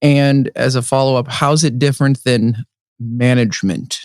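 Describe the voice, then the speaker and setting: A co-host chats casually with his guest and often restarts his sentences. His voice sits at an ordinary pitch. The sound is dry and close. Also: fairly even intonation, moderate pace, darkish tone, no echo. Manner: chatty, with restarts and hedges. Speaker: podcast co-host. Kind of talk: casual interview chat. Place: conference venue.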